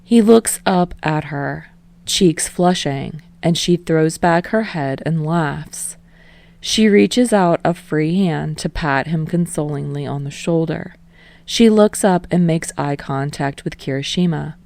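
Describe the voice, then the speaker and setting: A woman reading a story aloud in an expressive, scripted narration style. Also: deep voice, takes it slowly, gravelly, no room sound. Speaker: audiobook reader of fan fiction.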